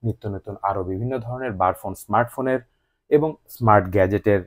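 A man's voice: fairly low pitch, quick pace, no room sound, studio quality. A man with a Bangladeshi accent narrating a scripted product unboxing review as the channel's host.

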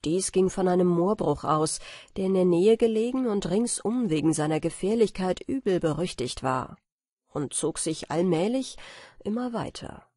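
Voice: mid-range voice, lively intonation, normal speed, mellow, no room sound, studio quality. An audiobook narrator reading a fairy tale as a scripted bedtime story.

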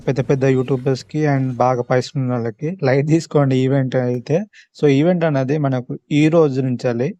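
A man's voice: medium pitch, darkish tone, no room sound. A man from India with a Telangana Telugu accent, giving a casual, instructional walkthrough as a gaming YouTuber.

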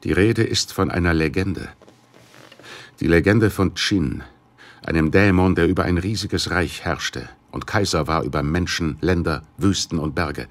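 Deep-voiced, grave middle-aged businessman. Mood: solemn, ominous. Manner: formal, measured. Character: grave, worried Chinese businessman, father of missing daughter. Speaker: actor in an audio drama. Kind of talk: German radio play dialogue.

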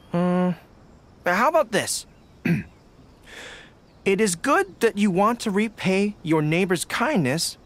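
Earnest young general, lively pitch. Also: male voice, exaggerated voice. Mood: firm, considered. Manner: earnest, deliberate, formal phrasing. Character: earnest young male dog-eared army general. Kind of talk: video game character dialogue.

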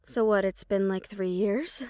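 A woman's voice: mid-range voice, unhurried, warm tone, no room sound, clean studio sound, close to an everyday voice. A woman playing a defiant, loyal young banshee daughter in an audio roleplay, sarcastic and casual. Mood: guarded, wry.

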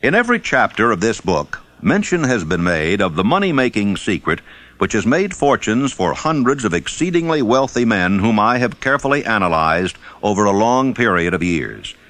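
A man reading scripted audiobook narration in a measured, formal and emphatic way. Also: fairly low pitch, big pitch swings, fairly bright tone.

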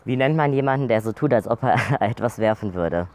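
A man's voice: mid-range voice, lively intonation, fairly quick, darkish tone. A man in his twenties chatting and telling jokes casually outdoors, blurting sudden vocal tics and swear words. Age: 20s. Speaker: video channel co-host. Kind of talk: casual joke-telling chat. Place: outdoor garden by a pool.

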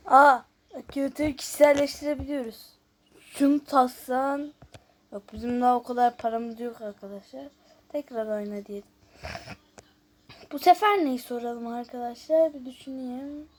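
A woman's voice: high voice, fairly even intonation, moderate pace. A woman hosting a casual gameplay video, chatting and thinking aloud as she reads out questions and answers them. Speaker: YouTube host.

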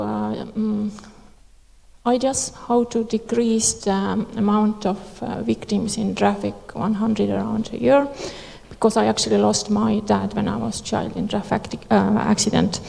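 Earnest woman with gravelly hall-echo voice. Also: mid-range voice, dark tone. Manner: halting, earnest, many filler pauses. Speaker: audience member asking a question. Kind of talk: emotional plea from the floor. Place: conference hall. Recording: hall reverb in a large venue.

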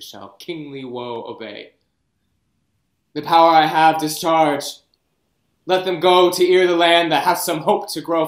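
An actor playing a proud young king in grief, reading Shakespeare verse from home over a video call, moving from hushed brooding to anguished outbursts. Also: a little high. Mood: despairing. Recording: roomy echo, faint music.

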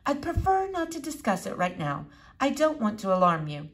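A woman's voice: on the high side, wildly swooping pitch, normal speed. A woman voicing a pompous, long-winded eleven-year-old boy with oddly formal, precise speech in a children's audiobook. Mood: formal, matter-of-fact.

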